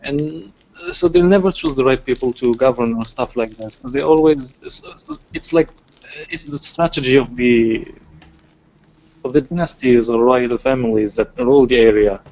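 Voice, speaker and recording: A man's voice: mid-range voice, normal speed, darkish tone, rough recording. A man from Morocco with a Moroccan accent, a native Arabic speaker talking English in a casual chat, hesitant, with frequent restarts.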